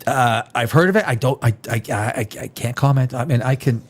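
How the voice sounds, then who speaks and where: A man's voice: mid-range voice, fairly quick, noisy background. A man hosting a live stream, chatting casually with his viewers and reading their comments. He rambles and repeats himself, and sounds stuffed up from a cold. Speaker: livestream host.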